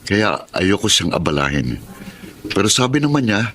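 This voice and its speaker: A man's voice: on the low side, sing-song, normal speed, a bit bright, a little hiss or hum. A man playing a mild, respectful son and supportive husband in a Tagalog radio drama. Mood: patient, respectful.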